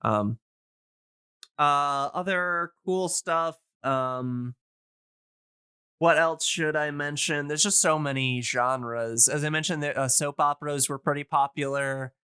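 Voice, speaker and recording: Bright, clear-voiced man chatting casually. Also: fairly high pitch, takes it slowly, no room sound, clean studio sound. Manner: rambling, hesitant, enthusiastic. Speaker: podcast host. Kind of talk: casual explanatory chat.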